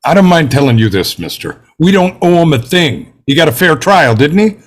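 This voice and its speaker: A man's voice: on the high side, sing-song, moderate pace. A man playing a brusque, scornful, impatient juror in a table read of a stage drama. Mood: indignant. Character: impatient, scornful, bigoted male juror, blunt and dismissive.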